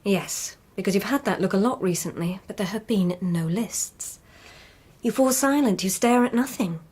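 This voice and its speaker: A woman playing an arch and haughty lady of the court in a radio play. Her voice sits at a middle pitch with a husky grain, and she delivers lines as teasing banter. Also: moderate pace, darkish tone, close to an everyday voice. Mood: concerned, chiding. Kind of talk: radio drama acting.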